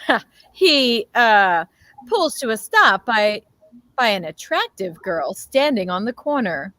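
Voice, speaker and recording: A woman's voice: fairly high pitch, very animated, takes it slowly, bright, forceful tone, no echo. A woman announcing and narrating an amateur old-time radio show in a classic announcer manner.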